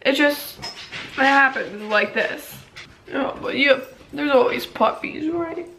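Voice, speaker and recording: A young woman talking casually and candidly in a personal daily vlog, rambling a little and hoarse from a long day of talking. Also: sits high, lively intonation, slow, fairly bright tone, a little hiss or hum, rough recording. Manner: rambling, candid, self-deprecating. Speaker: vlogger.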